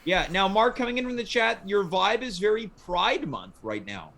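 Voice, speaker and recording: A man's voice: high voice, very animated, moderate pace, vocal fry, fairly bright tone. A man hosting a casual panel chat. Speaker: show host.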